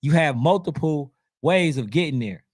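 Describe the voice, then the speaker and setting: A man with a hoarse voice, losing it as he talks, hosting a casual sports commentary and reading out viewer comments in an animated, preachy way. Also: fairly high pitch, moderate pace. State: losing his voice. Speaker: youth football channel host.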